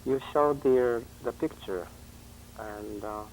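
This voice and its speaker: A man's voice: medium pitch, slow pace, a little mellow, a little hiss or hum, rough recording. A man whose first language is Japanese, interviewing hesitantly with short acknowledgements. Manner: hesitant, brief backchannel replies. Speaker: interviewer, producer. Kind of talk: telephone interview.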